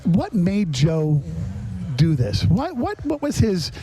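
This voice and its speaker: A man's voice: high-pitched, huge pitch swings, slow, mellow, slightly rough. A man hosting a casual podcast interview, chatty and rambling, often repeating words as he builds up to a point. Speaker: podcast host.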